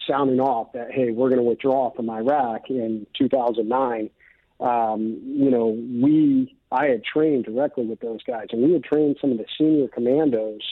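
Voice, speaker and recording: A man's voice: mid-range voice, no echo. American male, middle-aged veteran type, earnest and emphatic interview guest, dry lo-fi radio sound. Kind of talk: earnest opinion talk.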